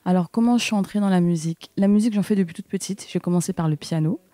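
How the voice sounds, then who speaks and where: A woman from French Guiana in her thirties, telling her story as a backing singer in a documentary interview, talking casually and pausing to restart her sentences. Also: lowish voice, mellow, dry recording. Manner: conversational, thinks aloud, pauses to restart sentences.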